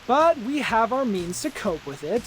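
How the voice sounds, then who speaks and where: Theatrical, teasing young horror buff. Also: male voice, extremely high pitch, big pitch swings, moderate pace, very noisy. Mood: scheming. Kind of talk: English video game character acting.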